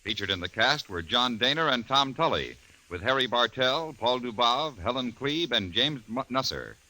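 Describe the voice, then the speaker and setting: A man's voice: medium pitch, animated, moderate pace, sharp tone, low-quality recording. A man with an American accent reading scripted credits and promos in a formal, emphatic 1950s radio announcing style. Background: music.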